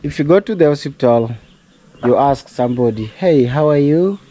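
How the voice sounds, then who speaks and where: A man's voice: medium pitch, expressive, normal speed, warm tone, poor audio. A young Kenyan man with a Kenyan accent, chatting casually to his viewers in a home vlog, speaking English as a second language and sometimes slipping into prayerful encouragement. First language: not English. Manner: chatty, preachy, addresses viewers as guys. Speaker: vlogger. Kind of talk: casual vlog talk to camera. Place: outdoors at a rural home.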